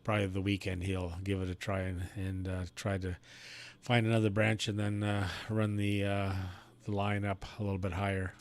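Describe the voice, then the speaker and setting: A man chatting casually as an amateur radio operator, in a folksy, unhurried way. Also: on the low side, level tone.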